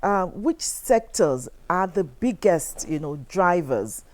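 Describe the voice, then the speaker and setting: A woman's voice: lowish voice, lively intonation, takes it slowly, darkish tone. A woman with a Nigerian accent, interviewing conversationally as a television host. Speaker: television talk show host.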